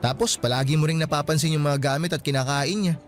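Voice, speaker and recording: A teasing, affectionate young student boyfriend in a radio play, voiced by a man with a fairly high voice. He jokes easily but speaks frankly when he gets serious, with soft music in the background. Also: fast, natural voice. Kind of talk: radio drama acting.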